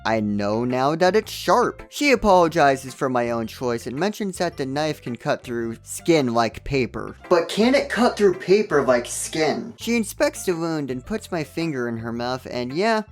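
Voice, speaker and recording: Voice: medium pitch, big pitch swings, unhurried, hardly any pauses, soft background music. A game reviewer narrating a scripted comedic recap with wry, joking asides.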